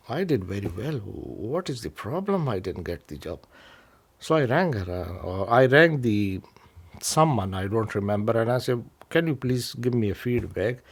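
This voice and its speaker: A middle-aged man from Pakistan telling his own story in an interview, halting, with many restarts and fillers. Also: lowish voice, vocal fry. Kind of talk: personal story told to camera.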